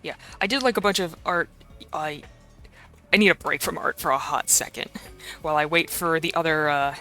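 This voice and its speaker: A slightly hoarse woman streamer in casual live-stream chat, rambling and playful as she talks to her chat. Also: low voice, moderate pace, pushed and bright, faint music behind.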